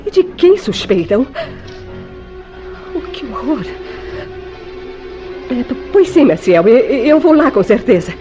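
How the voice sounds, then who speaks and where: An actress playing a distraught, anxious wife in an old-fashioned radio play, with emotional, theatrical and formal diction. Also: female voice, fairly high pitch, dull audio. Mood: shocked, agitated. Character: distraught, anxious wife shaken by her godfather's murder. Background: dramatic music.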